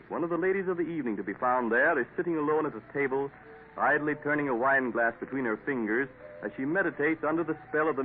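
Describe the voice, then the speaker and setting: A man narrating and announcing a 1950s radio drama in a measured, formal way. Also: medium pitch. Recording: tinny old radio broadcast with faint hiss.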